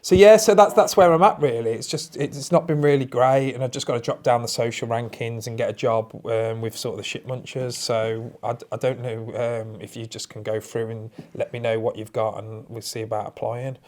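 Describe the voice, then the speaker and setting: Man, British accent, mid-pitched voice, halting and stammering, deadpan, rambling prank caller. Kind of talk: improvised prank phone call, oversharing.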